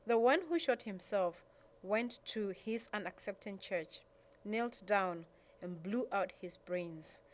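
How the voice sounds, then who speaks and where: A woman's voice: mid-range voice, takes it slowly, rough recording. A woman reading a prepared statement aloud. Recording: clear background noise and room ambience.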